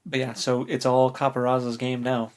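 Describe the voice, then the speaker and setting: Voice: medium pitch, little pitch movement, normal speed. Casual podcast co-host, mid-range voice. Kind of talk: casual book discussion chat.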